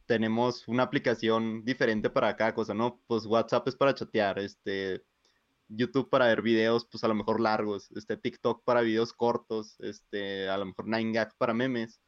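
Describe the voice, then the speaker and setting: A man's voice: on the low side, flat delivery, no room sound, lo-fi sound. A young man in his twenties with a Mexican accent, speaking hesitantly in a casual chat. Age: 20s. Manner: hesitant, fills pauses with "este".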